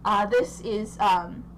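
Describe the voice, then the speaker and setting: A woman's voice: medium pitch, very noisy. A young woman running a tabletop game over voice chat, describing scenes in a rambling way with many fillers. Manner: rambling, lots of fillers and restarts. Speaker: game master.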